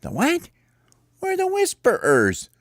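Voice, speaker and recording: A storyteller voicing excitable young sparrows in a children's story, chatty and full of exclamations. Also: high voice, moderate pace, dry recording, studio quality, pitched above the performer's own voice, exaggerated voice. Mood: excited, squeaky. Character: excitable squeaky young sparrows playing a ball game. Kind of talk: animated character voices in children's storytelling.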